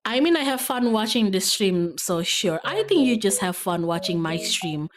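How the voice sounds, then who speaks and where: A woman's voice: mid-range voice, big pitch swings, normal speed. A woman streamer chatting casually with her viewers in a playful, giggly, rambling manner. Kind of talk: casual livestream chat with viewers. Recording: music behind the voice. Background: background music.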